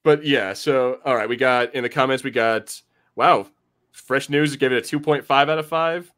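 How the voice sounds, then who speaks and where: A man's voice: medium pitch, moderate pace, fairly bright tone. A man hosting a pop-culture podcast, chatting informally and rambling, with casual swearing. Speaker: podcast host.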